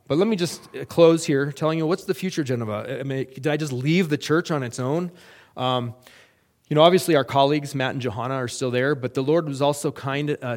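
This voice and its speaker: A middle-aged man, a missionary, giving a halting, earnest report to a church with many fillers and restarts. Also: mid-range voice, a little mellow. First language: English.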